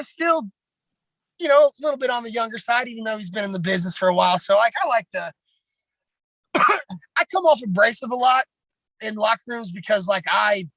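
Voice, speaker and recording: A man with a raspy voice, a pro wrestler talking bluntly and at length in a casual podcast chat, heavy on fillers. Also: sits high, bright, forceful tone, no echo, rough recording.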